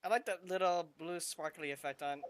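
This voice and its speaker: A man's voice: a little high, a little fast, clean tone. A man casually commenting while he plays a video game, in an offhand, rambling way. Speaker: gameplay commentator.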